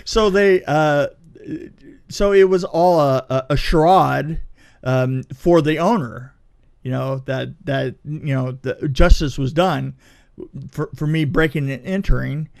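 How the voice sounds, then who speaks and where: A middle-aged man telling a story in casual conversation, dramatic and halting, repeating phrases for emphasis. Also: a little high, gravelly, a little mellow. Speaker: podcast co-host telling anecdotes.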